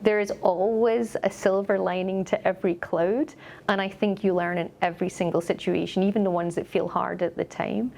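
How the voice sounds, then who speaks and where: A woman's voice: medium pitch, steady tone, moderate pace, vocal fry, darkish tone. A middle-aged woman with a Scottish accent, an upbeat and articulate company executive answering questions in a corporate interview.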